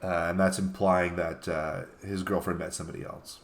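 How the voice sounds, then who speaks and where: Low-voiced man chatting casually. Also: little pitch movement, normal speed. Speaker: guest on a comedy talk show. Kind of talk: casual banter, reading out tweets.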